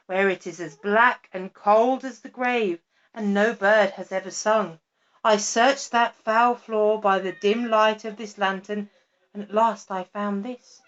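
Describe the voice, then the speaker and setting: Woman doing a slow, weary toad. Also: medium pitch, a bit bright, muffled recording, close to an everyday voice. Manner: slow, weary, repetitive telling. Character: patient, plodding male toad. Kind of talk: storybook reading.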